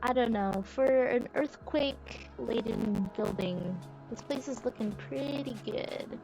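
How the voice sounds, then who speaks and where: A woman's voice: a little high, takes it slowly, poor audio. A woman streamer giving casual, easygoing gameplay commentary, thinking aloud as she plays. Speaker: game streamer. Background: game music.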